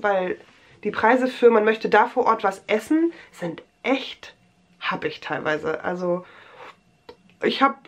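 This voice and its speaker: Brief: young woman vlogger, slightly high voice, has a cold, chatty and rambling, small room, camera at desk distance. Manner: chatty, rambling, many fillers and restarts. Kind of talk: casual show-and-tell vlog.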